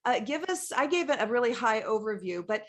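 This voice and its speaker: A woman's voice: on the high side, big pitch swings, brisk. A middle-aged woman hosting an interview podcast, speaking in an effusive, emphatic and enthusiastic way. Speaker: podcast host.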